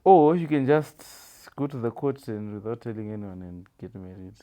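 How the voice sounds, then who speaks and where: A man from Zimbabwe with a Zimbabwean accent, chatting casually and thinking aloud in halting, repeated phrases. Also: on the low side, animated, dull audio. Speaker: quiz contestant on a family vlog. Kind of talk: casual chat.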